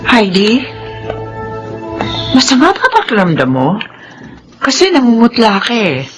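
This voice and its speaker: A woman acting the part of a kind, patient housemaid with a gentle, hesitant manner in a radio drama. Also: fairly high pitch, wildly swooping pitch, unhurried, music in the background. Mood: concerned.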